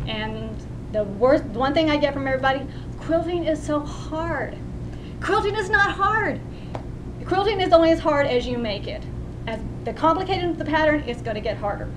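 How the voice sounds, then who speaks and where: A woman's voice: high voice, noisy background. An older woman with a Texan accent giving a homey, chatty personal narrative speech for a class, with small restarts. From West Texas.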